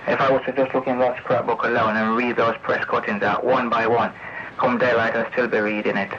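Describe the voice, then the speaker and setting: A man's voice: mid-range voice, flat delivery, brisk, fairly bright tone. A man delivering a rambling, confrontational, sweary monologue over CB radio, repeating accusations and throwing out challenging tag questions. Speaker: CB radio operator. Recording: noisy, muffled CB radio tape recording.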